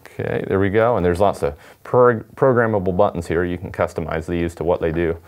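A man's voice: sits low, fairly even intonation, brisk, a little mellow. A man, giving a casual unboxing demonstration as a product presenter. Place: indoor studio at a table.

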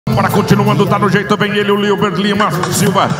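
High-voiced rodeo announcer, chanting calls, arena echo. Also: male voice, flat delivery, fast. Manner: chanting, building to shouts during rides. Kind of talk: sing-song rodeo announcing. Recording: echoey arena with loud music. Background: loud music.

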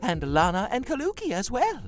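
A woman's voice: a little high, wildly swooping pitch, fairly quick, faint music behind. A woman playing a sweet, whimsical, chatty mobster's sister in a cartoon audio drama. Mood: sweetly scolding.

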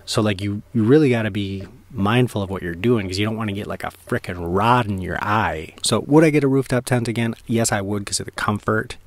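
A man's voice: lowish voice, brisk, few pauses. A man giving a casual first-person vlog voice-over in a laid-back, colloquial way, peppered with "like" and slang. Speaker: vlogger reviewing camping gear.

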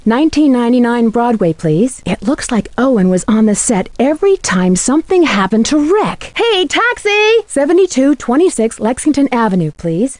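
A woman playing a curious, polite young female detective in a video game, with earnest, clearly enunciated scripted delivery. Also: on the high side, darkish tone, no echo. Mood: calling out, then curious, businesslike. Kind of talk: scripted game voice lines and letter narration.

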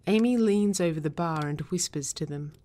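A woman reading stage directions as scripted narration, in a measured, descriptive manner. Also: fairly low pitch, mellow.

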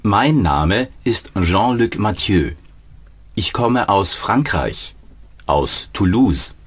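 A man plays an adult student who also announces each lesson in a language-course recording. His voice is very low and slightly breathy, and his delivery is slow and measured with lively intonation. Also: heavily acted. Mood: plain. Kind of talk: slow scripted learner dialogue.

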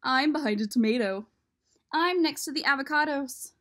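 A woman voicing playful, excitable festive eggs from a picture book, in a bubbly, exclaiming read-aloud manner. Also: high voice, moderate pace. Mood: gleeful. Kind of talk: expressive storybook read-aloud.

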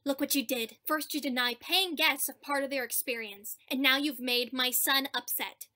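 A woman's voice: high-pitched, normal speed, sharp tone. A woman playing a pushy, entitled mother in a web cartoon, huffy and condescending. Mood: indignant. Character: pushy, entitled, indignant mother of small boy. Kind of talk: animated web cartoon acting.

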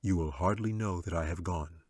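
A man's voice: low-pitched, moderate pace, a little mellow, husky, no echo, studio quality. Man voicing a grave noble angel. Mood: solemn. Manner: grave, formal old-fashioned speech. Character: solemn noble male angel protector.

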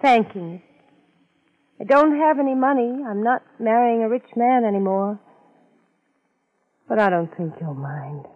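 A woman's voice: fairly high pitch, expressive, a little slow, pauses often. An actress playing a troubled female client in a 1950s radio detective drama. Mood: soft, coy. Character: anxious female client in trouble, coyly grateful. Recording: tinny, narrow-band 1950s radio recording.

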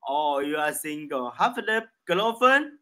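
A man in his thirties with a Chinese accent, practising casual English conversation as a learner in halting, simple broken English with repeated phrases. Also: a little high, big pitch swings, sharp tone. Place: small room indoors, video call. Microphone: desk microphone.

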